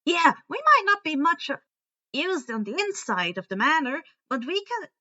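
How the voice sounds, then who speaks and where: An eager schoolboy put on by a woman reader speaks high and bright in a storybook tale. He is earnest and a little halting, on a slightly dull recording. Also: big pitch swings, heavily acted.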